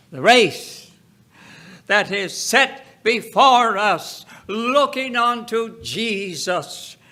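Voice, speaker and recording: A man with a bright, forceful voice reads scripture aloud at an extremely slow pace. He is solemn and dramatic, setting each short phrase apart with a pause and giving it deliberate emphasis. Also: sits high. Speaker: Bible reader.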